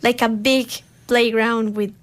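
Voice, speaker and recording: A woman's voice: fairly high pitch, steady tone, a little slow, a little hiss or hum. A young woman from Rica, hosting a live radio chat in a studio, upbeat but hesitant with many fillers. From Costa Rica. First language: Spanish. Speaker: radio host. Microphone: studio microphone.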